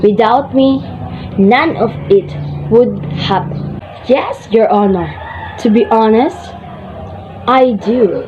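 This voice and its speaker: A woman playing a boastful, self-important nervous system in amateur reader's theater, reciting scripted lines in a dull lo-fi recording. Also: a little high. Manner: boastful, deliberate. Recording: dull lo-fi sound. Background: music.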